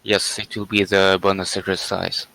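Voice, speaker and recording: A man's voice: sits low, monotone, moderate pace, vocal fry, sharp tone, no echo, rough recording. A man working as a teaching assistant, adding brief matter-of-fact remarks during an online class.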